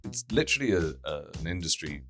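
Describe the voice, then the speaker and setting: A man's voice: deep voice, big pitch swings, quick pace, fairly bright tone, soft background music. A man from the Czech Republic who speaks with an English accent, telling his story in a rambling, conversational way as an interview guest. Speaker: interview guest, tech founder.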